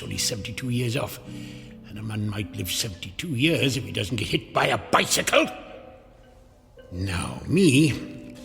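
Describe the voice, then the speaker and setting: Crotchety, gravelly grumbler. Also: male voice, medium pitch. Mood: musing, scheming. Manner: muttering to himself, folksy, exasperated. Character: grumbling crotchety janitor, irritable, fed up with modern life. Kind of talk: old-time radio comedy drama acting. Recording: reverberant radio drama with music behind.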